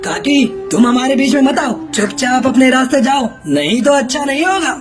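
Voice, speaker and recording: Cartoon bully crow, played by a woman: male, smug, wheedling suitor, high voice, music underneath. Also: lively intonation, moderate pace. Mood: threatening. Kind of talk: children's cartoon voice acting.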